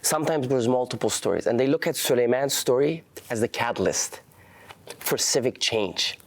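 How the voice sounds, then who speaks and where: A young man whose first language is Farsi, giving earnest, halting interview answers full of restarts. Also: mid-range voice. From Canada. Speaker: activist guest.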